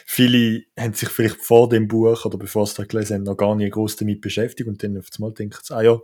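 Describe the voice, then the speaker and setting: Swiss German host, low voice, easygoing questions, laughs a lot, hesitant fillers. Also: male voice, little pitch movement, fast, darkish tone. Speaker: podcast host. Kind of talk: casual conversational interview.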